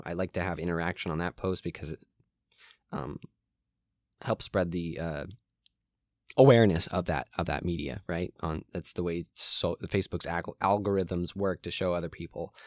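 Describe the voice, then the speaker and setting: A young man hosting a solo podcast from his room, rambling with frequent ums and restarts, his voice a little hoarse and cracking. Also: low voice, dry recording, studio quality. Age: young adult. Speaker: podcast host.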